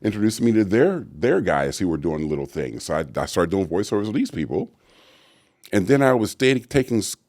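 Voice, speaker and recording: A middle-aged man with a booming, very low voice tells stories as an interview guest. His pitch rises and falls a lot as he acts out what others said. The sound is dry and close. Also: fairly quick, no echo. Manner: deep resonant voice, animated storytelling with acted-out quotes. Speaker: actor and voice actor guest. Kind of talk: storytelling interview answers.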